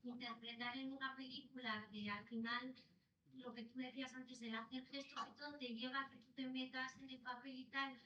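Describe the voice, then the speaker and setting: A woman's voice: sits high, little pitch movement, normal speed, bright, forceful tone. A woman makes an informal, rambling comment from the audience. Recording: dull, echoey, far from the mic.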